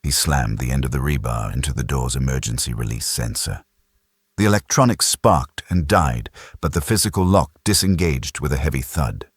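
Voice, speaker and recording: A man's voice: rumbling low, normal speed, breathy edge, dry recording, studio quality. A man narrating a sci-fi audiobook in a grave, dramatic, suspenseful storytelling style.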